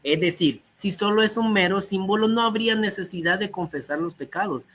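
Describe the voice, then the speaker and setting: A man with a somewhat high voice, slightly hoarse from a cold, gives a teaching talk. He reads passages aloud and then explains what they mean. A faint noise sits behind him. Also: fairly quick, fairly bright tone. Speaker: guest speaker. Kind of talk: teaching talk on early church texts.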